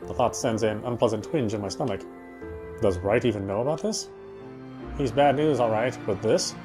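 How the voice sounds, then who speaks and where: A man's voice: on the low side, moderate pace, music in the background. A man streaming a game and reading its narration aloud, now and then stumbling over words.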